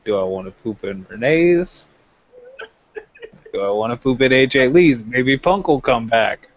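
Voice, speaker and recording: A man's voice: mid-range voice, animated, measured pace, highs cut off. A man chatting casually as co-host of a review show, joking crudely and acting out imagined quotes.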